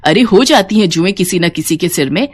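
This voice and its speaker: A woman's voice: on the low side, expressive, a little fast, noisy background, close to an everyday voice. A woman voicing an older, firm and protective mother-in-law in a moral story cartoon. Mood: indignant.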